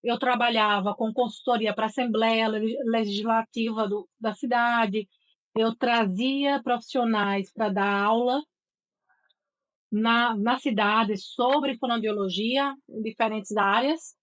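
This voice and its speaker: Articulate Northeastern Brazilian woman, fairly high voice. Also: steady tone, slow pace, muffled recording. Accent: Northeastern Brazilian (Sergipe). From Aracaju, Sergipe, Brazil. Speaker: guest, voice and speech therapist. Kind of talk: interview storytelling.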